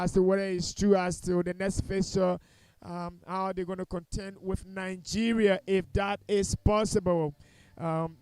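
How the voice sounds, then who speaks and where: A man's voice: high voice, dark tone, clear, resonant tone, no room sound. A man with a Liberian accent giving football commentary and post-match analysis as he signs off.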